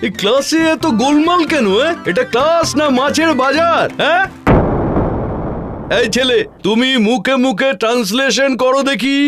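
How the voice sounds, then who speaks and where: Extremely high-pitched exasperated cartoon teacher. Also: male voice, normal speed, over loud music. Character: exasperated, sarcastic English teacher at a school. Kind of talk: cartoon voice acting.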